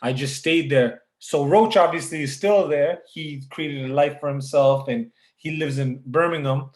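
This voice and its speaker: A man from Canada, a rapper guest telling his story in a relaxed way, with many filler words. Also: fairly high pitch, unhurried, roomy sound. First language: Punjabi. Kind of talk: casual interview over a call.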